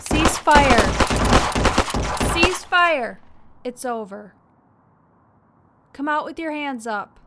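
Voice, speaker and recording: A woman playing a firm, authoritative police captain in an audio drama, issuing forceful orders. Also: sits high, lively intonation, drawn-out words, long pauses, background noise, exaggerated voice. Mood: commanding. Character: firm, commanding female police captain, fed up. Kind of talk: audio drama action acting. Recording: loud music under the voice.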